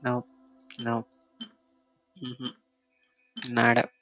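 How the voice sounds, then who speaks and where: Guy streaming a game, slow n halting, gravelly fry, thinking out loud, music in the back. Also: mid-range voice, monotone, lo-fi sound. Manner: halting, muttering to himself, thinking aloud. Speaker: video game live streamer. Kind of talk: casual solo game stream commentary, reading item text aloud.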